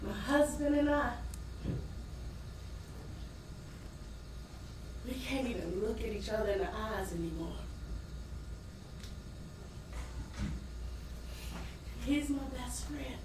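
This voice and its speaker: A woman playing a weary, embittered working-class wife and mother in an emotive, dramatic stage monologue with long pauses. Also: fairly high pitch, animated, distant mic, lightly acted. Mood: sorrowful. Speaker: stage actor. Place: indoor stage performance space. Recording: roomy echoing theater from afar, faint noise.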